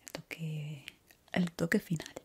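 A young woman from whose comes a soft, breathy, gentle whisper, playing a barber in an unhurried ASMR roleplay close to the mic. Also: low voice, fairly even intonation. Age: young adult. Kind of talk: ASMR roleplay, personal attention. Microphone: close ASMR microphone.

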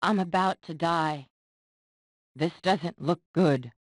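An actress voicing a haughty, impatient superheroine in short video game combat lines, imperious and scornful with clipped delivery. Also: female voice, lowish voice, slow pace, no echo, clean studio sound. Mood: cool, scornful.

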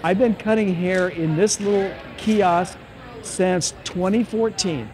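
An older man, a barber, giving an upbeat direct-to-camera sales pitch in a shopping mall, asking questions and answering them himself. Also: slow, a little mellow. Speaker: barber and franchise owner. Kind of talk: direct-to-camera promotional vlog. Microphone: camera on tripod at mid distance.

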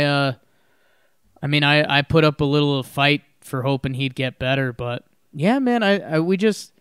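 A young man co-hosting a podcast, talking casually and excitedly about his team with many restarts and little laughs, recorded on a boom mic at home. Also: clear, resonant tone, dry recording, studio quality. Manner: animated, rambling with restarts and laughs. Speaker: podcast co-host. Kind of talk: casual sports chat. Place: home room, indoors. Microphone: studio mic on boom arm.

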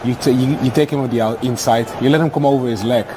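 A man's voice: medium pitch, fast talker, background noise. A man who is a football pundit, breaking down tactics in a TV studio on a lapel mic, emphatic and prone to repeating himself. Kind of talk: studio match analysis.

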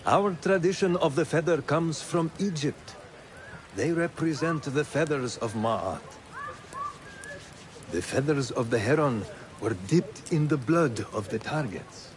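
Solemn, slow-spoken older man. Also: fairly high pitch, lively intonation, a little hiss or hum. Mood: solemn, wise. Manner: deliberate, solemn storytelling. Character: wise, solemn older male assassin elder. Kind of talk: dramatic video game acting.

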